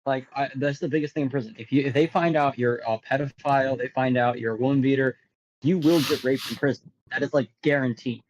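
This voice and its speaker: A man's voice: mid-range voice, steady tone, a little fast, darkish tone, dull audio, a little hiss or hum. A man on a podcast panel telling stories in a blunt, casual, conversational way. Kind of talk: casual chat, telling anecdotes.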